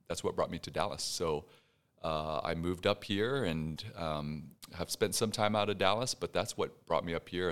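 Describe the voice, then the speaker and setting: Low-voiced man in his forties. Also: a bit bright. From Houston, Texas. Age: 40s. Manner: explanatory, with frequent fillers. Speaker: guest, business owner. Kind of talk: interview conversation.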